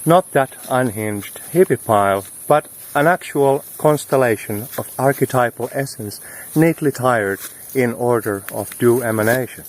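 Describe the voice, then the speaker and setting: A man's voice: medium pitch, measured pace. A man delivering a reflective spiritual monologue, with flowery, musing phrasing broken by slangy asides. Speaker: spiritual teacher hosting an audio series. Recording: noisy outdoor recording, wind and vehicle noise.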